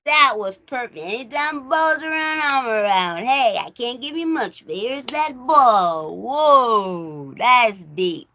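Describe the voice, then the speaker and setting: Female review-show host: casual, animated and questioning, slightly slow delivery with a noticeable creak. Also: a little high, muffled recording. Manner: animated, rhetorical questions, acts out quotes. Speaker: host of a film recap show. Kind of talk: casual chat movie review.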